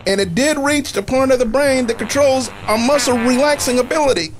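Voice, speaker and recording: An actor playing a nerdy, earnest inventor who explains technical things precisely in a comedy radio drama. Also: male voice, very high-pitched, fairly quick. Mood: anguished.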